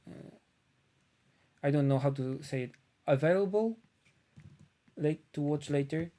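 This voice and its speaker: A man from Poland with a Polish accent, chatting casually on a live stream as he paints, thinking aloud with frequent pauses. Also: fairly high pitch, dark tone.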